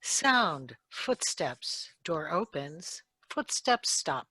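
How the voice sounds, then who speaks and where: Mujer lenta leyendo efectos de sonido. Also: female voice, deep voice, drawn-out words, husky, dry recording. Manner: announces cues flatly. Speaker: sound effects reader. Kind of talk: reading sound cues in a live radio play.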